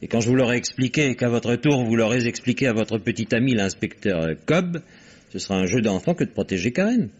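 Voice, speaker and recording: A man's voice: medium pitch, lively intonation, brisk, slightly rough. An actor in an old radio drama playing a cynical, blunt father who is sardonic and self-assured. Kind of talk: 1960s French radio drama acting. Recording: dull old radio broadcast recording.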